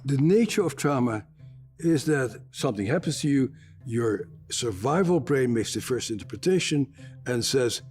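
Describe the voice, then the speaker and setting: An older man speaks with a gravelly, slightly worn voice at an ordinary middle pitch. He is a psychiatrist, and in an interview he explains his work in a measured way, dropping the odd wry aside. Soft music plays faintly underneath. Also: animated.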